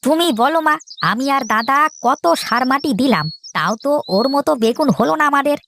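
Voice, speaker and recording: Woman as cocky high-pitched farmer lad. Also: lively intonation, moderate pace, fairly bright tone. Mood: accusing. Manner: taunting, accusatory. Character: cocky, suspicious farmer brother, young male. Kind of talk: children's cartoon dialogue. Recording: faint noise with bird chirps.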